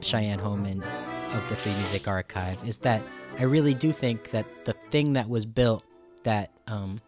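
A man's voice: lowish voice, a little slow. Enthusiastic male podcast host, music behind. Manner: enthusiastic, rambling. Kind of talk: conversational podcast interview.

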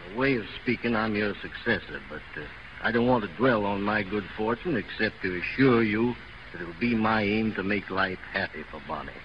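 An actor playing a polite, bashful and earnest suitor with halting, courteous speech in 1930s radio drama style. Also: male voice, fairly low pitch, close to an everyday voice. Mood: earnest, uneasy. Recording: muffled, noisy 1930s radio broadcast.

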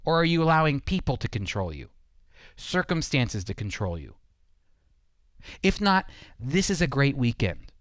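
A man's voice: mid-range voice, big pitch swings, moderate pace, long pauses, a bit bright, dry recording, clean studio sound. A man preaching as a Bible teacher, speaking straight to the listener with emphatic repetition and rhetorical questions. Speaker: Bible teacher hosting a radio program. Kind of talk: evangelical sermon, teaching directly to the listener.

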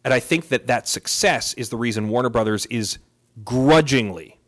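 A man with a medium-pitched voice in relaxed podcast conversation. He is opinionated and emphatic, building his arguments through repeated phrasing. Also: moderate pace. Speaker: podcast co-host. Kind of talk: casual chat about films and comics.

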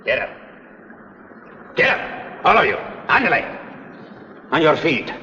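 A man's voice: a little high, big pitch swings, unhurried, sharp tone, full character voice. An actor playing a bullying male smuggler's henchman, curt and barking, in 1950s drama style. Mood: threatening. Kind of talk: 1950s television drama acting. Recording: echoey, muffled, noisy vintage soundtrack.

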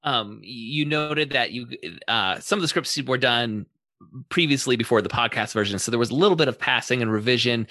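Bright-voiced man hosting, thinking aloud. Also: mid-range voice, normal speed, no echo. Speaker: podcast host. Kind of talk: casual interview conversation.

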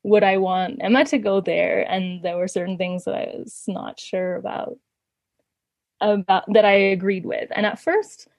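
A woman's voice: medium pitch, fairly even intonation, unhurried, vocal fry, a little mellow, dry recording. Laughing woman telling stories, dry sound. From Canada. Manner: laughs often, many fillers and restarts. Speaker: interview guest. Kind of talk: casual conversational storytelling.

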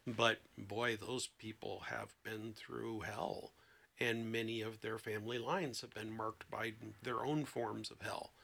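A man who works as a therapist, talking conversationally as a podcast guest and telling anecdotes in an expressive, emphatic way. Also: lowish voice, a little slow, creaky. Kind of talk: conversational explanation with anecdotes and acted-out quotes.

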